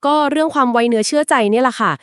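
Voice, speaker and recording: A woman co-hosting a podcast, retelling an old legend conversationally and explaining it at length, with polite particles and short filler pauses. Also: a little high, smooth, dry recording, clean studio sound. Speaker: podcast co-host. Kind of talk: conversational storytelling of a folk legend.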